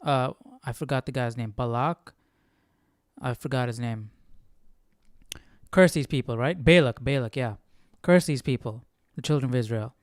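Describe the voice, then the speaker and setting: Guy hosting a bible study, lowish smooth voice, thinking out loud casually, keeps asking questions. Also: normal speed, no room sound, clean studio sound. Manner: musing, often checks in with rhetorical questions. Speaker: host of a Bible study livestream. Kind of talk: casual conversational scripture commentary.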